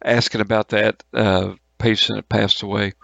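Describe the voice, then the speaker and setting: A man with a fairly low, gravelly voice runs a tabletop roleplaying game. He improvises descriptions in informal, halting phrases. The sound is dry, with very little room in it. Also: flat delivery, fairly bright tone, dry recording, highs cut off. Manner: halting, colloquial, informal explanations. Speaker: game master.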